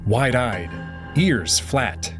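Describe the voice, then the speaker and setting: A man narrating a visual novel aloud in an expressive way. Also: medium pitch, drawn-out words. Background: background music.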